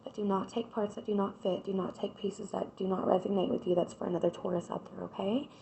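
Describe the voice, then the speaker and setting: A woman, hoarse from a cold, doing a casual tarot reading and chatting straight to her viewers. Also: mid-range voice, flat delivery, fast, mellow, slight background noise, poor audio. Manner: chatty, addresses viewers directly with repeated "right?". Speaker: tarot reader.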